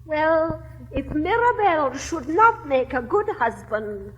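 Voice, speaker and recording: Affected witty society lady, stage comedy, high voice, slow and drawn out, reverberant recording. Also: very animated, full character voice. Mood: coy. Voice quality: affected, drawling, swooping. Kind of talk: classic stage comedy acting. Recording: reverberant old recording, faint hiss.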